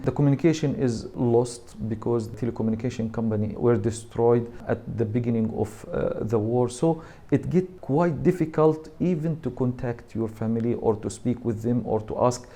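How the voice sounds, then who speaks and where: A man from Gaza with a Palestinian Arabic accent, giving a personal account in halting non-native English, often searching for words. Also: medium pitch, dark tone. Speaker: university lecturer giving personal testimony.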